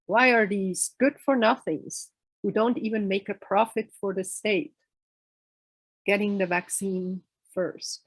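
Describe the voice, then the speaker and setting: A woman reading a report aloud in a measured way, careful with unfamiliar words, on a video call. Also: mid-range voice, fairly even intonation, slightly rough, dry recording. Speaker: reader in a group reading.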